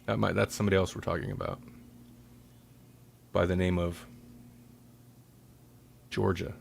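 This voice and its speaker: A man with a fairly low voice hosts a casual podcast. He rambles conversationally, tossing in jokes and self-deprecating asides as he goes. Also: moderate pace, vocal fry, slight background noise. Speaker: podcast host.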